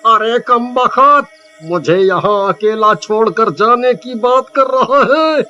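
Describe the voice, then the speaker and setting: A man's voice: extremely high pitch, slow, pushed and bright, lo-fi sound, heavily acted. A man playing a helpless, pleading village husband in a cartoon horror story. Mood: pleading, desperate. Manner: pleading, wailing.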